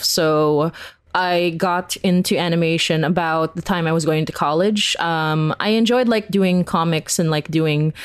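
A woman's voice: sits low, no echo. A woman chatting casually as an interview guest, giggly and peppering her talk with "like". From Philippines. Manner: chatty, giggly, uses "like" constantly. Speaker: interview guest, animator and comics creator.

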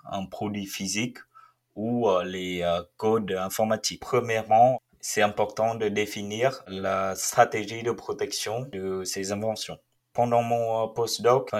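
A man in his twenties with a fairly low voice gives a first-person account of his work. He talks haltingly, filling his pauses often as he explains. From Vietnam. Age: 20s. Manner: hesitant, many filled pauses. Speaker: researcher and startup founder.

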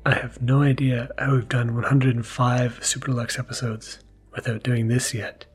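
A man hosting a bedtime podcast, narrating gently in a soft, half-whispered voice for relaxation. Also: level tone, normal speed, husky. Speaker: podcast host. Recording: music playing behind the voice. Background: mellow music and low-key sound design.

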